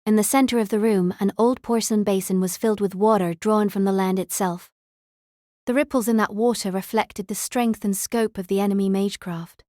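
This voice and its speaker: A woman narrates a fantasy novel as an audiobook. Her voice sits at a medium pitch, and the recording is dry with no room sound. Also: steady tone, fairly quick, warm tone, no echo. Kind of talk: scripted story narration.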